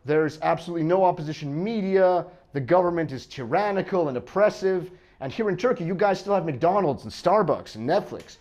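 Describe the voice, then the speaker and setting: An American man works the crowd as a stand-up comedian. His voice sits high for a man and swings widely in pitch. He stumbles, restarts and chuckles through his banter. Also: lively intonation, darkish tone. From United States. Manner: halting, self-interrupting banter, often chuckling.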